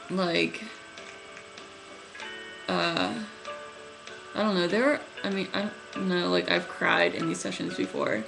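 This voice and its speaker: Young woman who stutters, low and gravelly. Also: rough recording. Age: young adult. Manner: stuttering, halting, many fillers and restarts. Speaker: vlogger. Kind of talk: casual webcam vlog. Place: small room at night, indoors. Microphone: webcam at close range.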